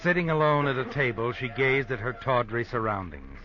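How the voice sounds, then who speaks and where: An actor playing a sardonic, all-knowing American narrator of an old radio suspense drama, insinuating and dramatic. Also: male voice, mid-range voice, expressive, moderate pace, natural voice. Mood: sardonic, ominous. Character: sardonic, omniscient male storyteller of suspense tales. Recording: muffled old radio with music. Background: music.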